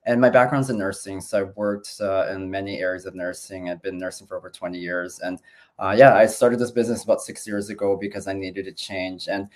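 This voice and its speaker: A man in his forties, from the Philippines and raised in Canada, sharing his business story in a relaxed, rambling way with plenty of fillers. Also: fairly low pitch. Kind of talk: casual testimonial on a video call.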